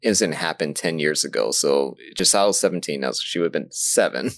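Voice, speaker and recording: A man's voice: extremely low pitch, lively intonation, brisk. A man chatting casually as a podcast panelist. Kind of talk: casual animated chat about anime.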